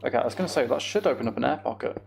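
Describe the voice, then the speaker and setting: Creaky-voiced man livestreaming a game. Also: mid-range voice, expressive, rapid-fire, darkish tone, slight background noise. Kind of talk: casual gaming livestream chat with commentary.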